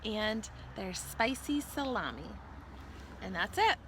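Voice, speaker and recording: A woman's voice: mid-range voice, sing-song, takes it slowly, fairly bright tone, slight background noise. A woman chatting casually in a playful family vlog. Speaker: family vlogger.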